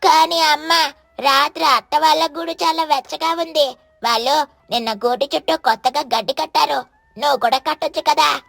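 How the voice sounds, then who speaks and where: A woman's voice: high-pitched, steady tone, normal speed, vocal fry, a bit bright, no echo, faint music behind. Woman as a whiny little crow child. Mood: pleading. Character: small, whining, hungry child crow. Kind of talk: animated children's moral story.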